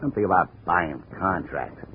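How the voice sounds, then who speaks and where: An actor playing a brisk, suspicious investigator in a 1950s radio detective drama. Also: male voice, low voice, natural voice. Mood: brisk, probing. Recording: tinny, hissy old radio recording.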